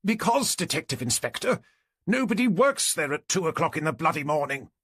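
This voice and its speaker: A man's voice: on the high side, very animated, brisk, a bit bright, breathy edge, dry recording, clean studio sound, voice pushed up, exaggerated voice. A man voicing an indignant, pompous middle-aged neighbour in an audiobook, with a clipped British accent. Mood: exasperated. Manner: pompous, exasperated.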